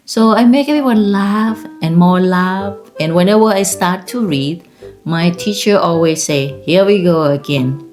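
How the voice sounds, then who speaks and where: Thai accent lady in her 50s, low voice, slow rambly storytelling, soft music behind. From Thailand. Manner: rambling, laughs while telling stories. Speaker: vlogger. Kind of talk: casual personal storytelling.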